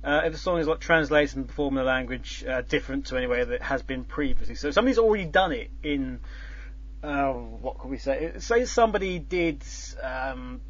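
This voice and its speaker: A man's voice: mid-range voice, expressive, brisk. A man hosting a casual chat, explaining a contest's scoring in a rambling way with many fillers and restarts. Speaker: host. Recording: dull lo-fi sound.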